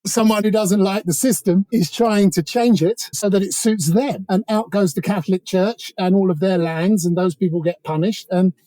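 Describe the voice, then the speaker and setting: Dark-toned Englishman, higher-pitched, talking discursively. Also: steady tone, normal speed, few pauses, no room sound, poor audio. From England. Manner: discursive, opinionated, wry. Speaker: interview guest, author. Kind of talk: conversational analysis.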